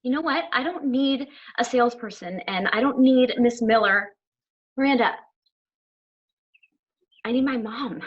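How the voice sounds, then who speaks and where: A woman's voice: on the high side, a little slow, pauses often, highs cut off, close to an everyday voice. An actress reading an awkward, self-conscious teenage girl at a table read, exasperated and dramatic.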